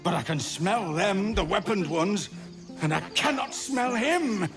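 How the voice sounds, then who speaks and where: Anxious, sing-song animal companion. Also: male voice, sits high, lively intonation, measured pace, over music. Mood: anxious, forlorn. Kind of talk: video game voice acting.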